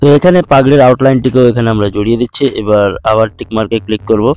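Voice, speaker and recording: A man narrating a step-by-step screen tutorial in a steady instructional way, addressing viewers as friends. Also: mid-range voice, very fast, clear, resonant tone. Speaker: tutorial host. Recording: dull lo-fi with faint music.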